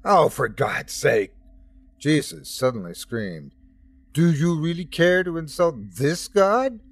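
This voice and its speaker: A man's voice: fairly high pitch, very animated, slow, creaky, voice pushed up, heavily acted. A man voicing an impassioned grown son who defies his divine father, speaking in a raised, intense voice well above his own. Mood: exasperated.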